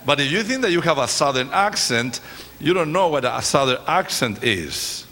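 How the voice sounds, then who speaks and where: A man's voice: fairly high pitch, big pitch swings, moderate pace. A man from Chile, a native Spanish speaker with a Chilean accent, preaches informally as a guest speaker to a congregation, often hesitating as he goes. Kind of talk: sermon with informal, joking remarks to a congregation. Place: large church, speaking from the stage. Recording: reverberant church hall, congregation noise.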